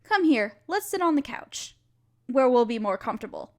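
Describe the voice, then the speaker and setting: A woman playing a kind, patient alchemist who gently teaches and reassures an apprentice in an intimate audio roleplay. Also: sits high, very animated, moderate pace. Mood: warm, friendly. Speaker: voice actor in a scripted audio roleplay. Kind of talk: intimate scripted roleplay, one-sided conversation with listener.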